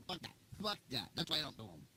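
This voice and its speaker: A man's voice: a little high, very animated, moderate pace, gravelly, bright, forceful tone, breathy edge. A man who hosts a channel, ranting in a casual monologue, swearing a lot and repeating himself. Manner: profane, repetitive ranting. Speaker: channel host.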